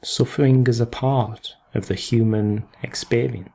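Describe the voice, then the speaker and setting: Guy doing guided meditation, really slow w long pauses, bit of vocal fry, gentle instructions. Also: mid-range voice, steady tone. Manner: unhurried, with long pauses between short phrases.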